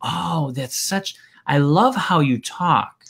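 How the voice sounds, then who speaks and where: A man's voice: a little high, takes it slowly, creaky, a bit bright, slightly rough. A man from Wisconsin with a Wisconsin accent, hosting a casual interview and rambling with frequent restarts. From Wisconsin, USA. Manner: rambling, restarts sentences often. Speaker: podcast host.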